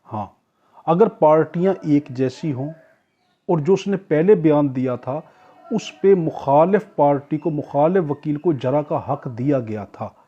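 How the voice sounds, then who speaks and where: A man's voice: a little high, expressive, a little slow, mellow. Dull lo-fi recording, Pakistani man teaching. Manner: didactic, repetitive, asks questions and answers them himself. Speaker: law tutor. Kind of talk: informal exam-prep lecture, explaining clause by clause.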